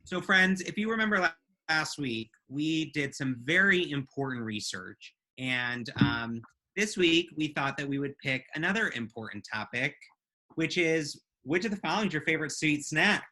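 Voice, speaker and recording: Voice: mid-range voice, expressive, normal speed, sharp tone, poor audio. A podcast co-host chatting casually and playfully with listeners as friends on a live show.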